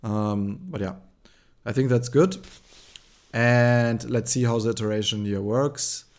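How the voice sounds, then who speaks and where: A man with a German accent hosting a relaxed screen-share tutorial, thinking aloud with frequent ums and likes. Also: medium pitch, measured pace. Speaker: tutorial host.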